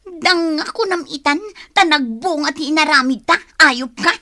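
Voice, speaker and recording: A woman acting a troubled, quick-tempered young working woman in an Ilocano radio drama. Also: high voice, sharp tone, no echo, studio quality. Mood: angry, hurt.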